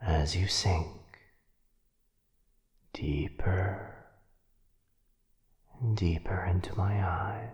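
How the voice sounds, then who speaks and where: A gentle, protective male vampire, acted by a man for an ASMR audio roleplay. His voice sits very low and is heavily breathy and husky. He speaks slowly and softly, with hushed, soothing pauses. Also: exaggerated voice. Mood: hypnotic, soothing. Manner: slow, hushed, halting, soothing delivery.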